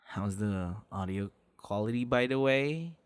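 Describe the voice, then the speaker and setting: Bashful, low-voiced young boyfriend played by a man. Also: expressive, measured pace, clean tone. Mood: sheepish, chatty. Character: affectionate, bashful young man on a picnic date. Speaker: ASMR voice actor. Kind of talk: ASMR boyfriend roleplay.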